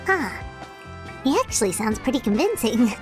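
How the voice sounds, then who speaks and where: A woman voicing a tiny, childlike fairy companion in a video game, squeaky and perky with a suspicious streak. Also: big pitch swings, moderate pace, over music. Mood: skeptical. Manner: speaks of herself in third person.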